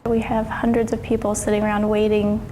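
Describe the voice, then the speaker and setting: A woman's voice: medium pitch, completely flat delivery, brisk, mellow. A young woman answering interview questions as a graduate. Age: young adult. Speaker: graduate pilot being interviewed. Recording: reverberant room with faint noise.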